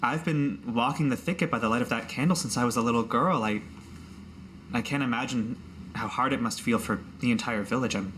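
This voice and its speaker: A woman's voice: rumbling low, fairly quick, a little hiss or hum. A woman as a blunt, kindly innkeeper. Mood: shaken, firm. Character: blunt, kindly but thrifty female innkeeper.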